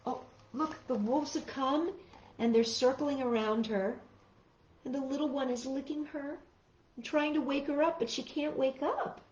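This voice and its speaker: A woman hosting a storytime for children, reading aloud in an expressive, casual way and putting questions to young listeners. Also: high-pitched, normal speed, echoey room, dull audio. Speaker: storytime host reading picture books to children.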